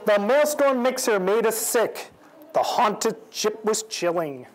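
A man in his forties reading a picture book aloud to children in an expressive, measured storytelling way. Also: sits high, low-quality recording. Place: indoors, library event room. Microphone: lapel. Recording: clear background noise in room.

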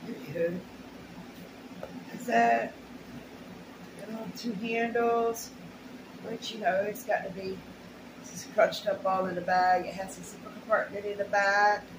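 A woman's voice: medium pitch, fairly even intonation, unhurried, pauses often. Middle-aged woman, plain-spoken haul vlogger, casual rambling delivery in short bursts, roomy and noisy home recording. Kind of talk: casual unboxing chat to camera. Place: small plain indoor room at home.

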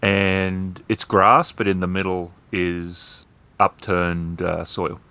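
Low-voiced, slow-talking man. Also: level tone, sharp tone, clear, resonant tone. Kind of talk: improvised roleplay narration.

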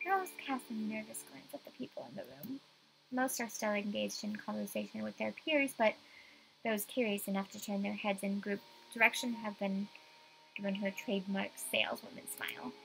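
A woman's voice: medium pitch, moderate pace, creaky, a bit bright, a little hiss or hum, poor audio. A young woman reading a visual novel aloud as dramatic first-person story narration.

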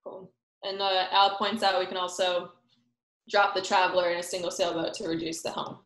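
A woman's voice: fairly low pitch, flat delivery, normal speed, a bit bright. Young woman presenting, roomy echo. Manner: casual, many fillers. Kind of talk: online sailing seminar. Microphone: video call. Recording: roomy echo on call audio.

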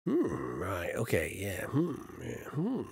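Man, 40s, streamer, casual chat, tired, slow, animated pitch, dry room. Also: gravelly, slightly rough, no echo. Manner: jokey, mimics accents in short bursts, counts under his breath. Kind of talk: casual live-stream chat while building.

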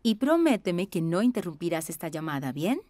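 A woman playing a busy, gentle young mother in a children's cartoon dub, with a soothing manner. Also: on the low side, very animated, normal speed, dry recording. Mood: kind, firm. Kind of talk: animated children's series dub.

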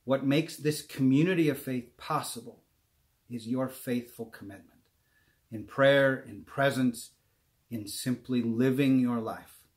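A man in his forties with a mid-range voice speaks as a pastor, calm and earnest. He invites the congregation into the offering with steady warmth of purpose. It sounds like a home recording at a webcam's distance. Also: mid-range voice. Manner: calm, earnest, slowing at the close. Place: home room with brick wall.

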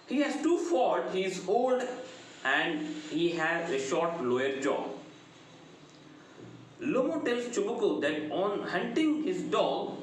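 A middle-aged man with a South Indian accent giving an explanatory lecture in a deliberate, emphatic, sing-song delivery. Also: high-pitched. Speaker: English lecturer. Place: small indoor room. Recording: very echoey room, faint noise.

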